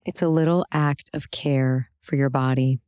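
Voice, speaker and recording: Very low-voiced woman guiding meditation. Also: clear, resonant tone, dry recording, clean studio sound. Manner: calm, gentle, unhurried instruction with pauses. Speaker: meditation and yoga teacher. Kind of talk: guided meditation, soothing scripted narration.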